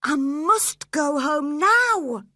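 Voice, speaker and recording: Woman doing a spunky teen girl, bright clear voice, caring but stubborn. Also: high voice, lively intonation, extremely slow, no echo, clean studio sound, voice pushed up, exaggerated voice. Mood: defiant. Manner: gentle, then defiant. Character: spirited, caring teenage girl.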